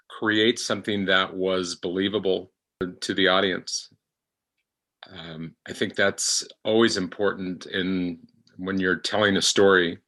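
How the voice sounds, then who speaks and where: A man, an actor, answering as a podcast guest in a measured, reflective and slightly hesitant way. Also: on the low side, bright, forceful tone.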